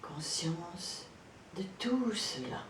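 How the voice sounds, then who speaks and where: Slow, low-voiced woman guiding relaxation. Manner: calm, gentle, soothing, with frequent pauses. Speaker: yoga nidra instructor. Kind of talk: guided relaxation meditation, spoken instructions. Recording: roomy echo, distant mic, background noise, rumble.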